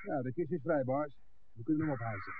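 An actor playing a rough, grudging henchman who serves a boss in a 1960s radio drama. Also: mid-range voice, fairly quick, narrow, tinny audio, natural voice. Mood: businesslike, uneasy. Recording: thin, band-limited old radio recording, hiss.

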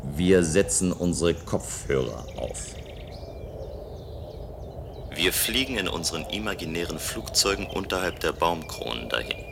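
An actor playing a restless, playful man of a future city in a German radio play, with a measured, musing delivery. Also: low voice, lively intonation, gravelly, background noise, full character voice. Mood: playful, competitive.

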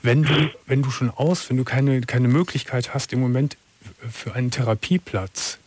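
A man hosting a late-night call-in radio show, speaking calmly and earnestly and often repeating words. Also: mid-range voice, moderate pace, slightly rough. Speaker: radio host.

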